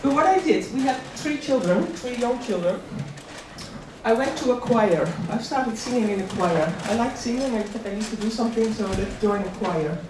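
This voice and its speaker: A woman's voice: fairly high pitch, animated, moderate pace. A middle-aged woman from the Netherlands giving a personal, conversational motivational talk to an event, repeating key words for emphasis. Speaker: invited speaker at a career event. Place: large room at an employment event. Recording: echoey hall, distant mic, audience noise.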